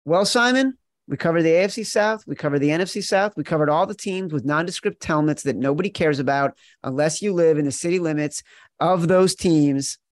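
A man's voice: on the high side, brisk, dry recording. A man co-hosting a sports betting show, talking in an emphatic, playful way straight to his partner. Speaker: podcast co-host.

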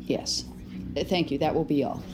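A woman playing a brisk press officer in an audio drama, announcing and keeping order at a briefing. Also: sits low, steady tone, music in the background, lightly acted. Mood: official, controlling. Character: brisk female press officer controlling a room. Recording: loud crowd, off mic.

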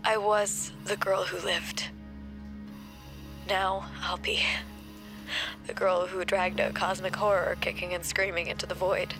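An actress playing a resolute young woman giving an intimate farewell monologue, soft and sometimes whispery. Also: lowish voice, level tone, a little slow, pauses often. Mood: tender, resigned. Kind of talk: intimate recorded farewell monologue, audio drama. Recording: music behind the voice.

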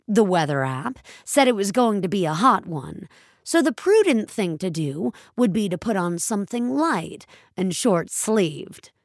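A woman reading scripted fiction as a narrator, with a measured storytelling delivery. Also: mid-range voice, very animated, no echo, studio quality. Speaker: narrator and reader of an audio story.

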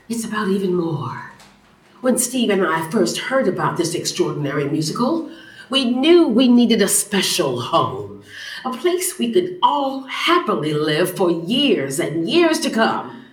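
A woman playing an exasperated, sharp-tongued Broadway co-producer in an audio comedy, sardonic and emphatic. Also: medium pitch. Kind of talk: scripted audio comedy acting. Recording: roomy, distant, music and crowd behind.

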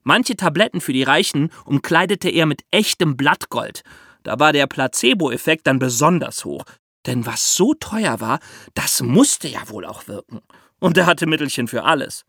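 A man hosting a podcast, explaining step by step in lively storytelling for children with theatrical emphasis. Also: fairly high pitch, moderate pace, no echo, clean studio sound.

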